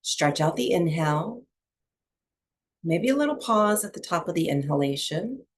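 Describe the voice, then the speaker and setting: A woman teaching breathwork and meditation to a small group, speaking softly and soothingly with long pauses between phrases. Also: fairly low pitch, big pitch swings, husky. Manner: soft, unhurried, soothing delivery with long pauses.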